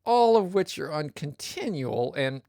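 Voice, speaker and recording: A performer playing a pompous, weary professional narrator, delivering wordy, deadpan comic narration with disdain. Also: mid-range voice, unhurried, vocal fry, dry recording, studio quality. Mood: dry disdain.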